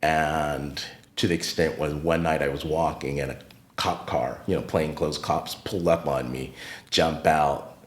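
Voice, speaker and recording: A middle-aged man with an American accent speaks in an extremely deep register as a podcast guest. He reflects unhurriedly on his past and gets livelier when he retells run-ins with people. The sound is roomy and echoey. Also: fairly even intonation, a little slow. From United States. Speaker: podcast guest, retired teacher. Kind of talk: casual interview storytelling.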